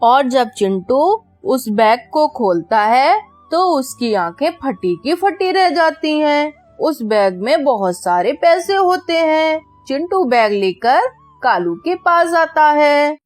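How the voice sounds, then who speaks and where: A woman narrator tells a children's cartoon story in a high voice at a slow, measured pace. The sound is dry, with faint music behind it. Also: sing-song.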